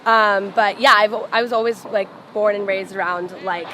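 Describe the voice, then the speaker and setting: A woman's voice: medium pitch, fairly even intonation, moderate pace, pushed and bright. A young woman, a singer born and raised in Los Angeles, chatting casually in an interview with lots of "like" fillers. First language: Farsi. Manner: chatty, full of "like" fillers, laughs often. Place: outdoors on a sidewalk. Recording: street noise behind.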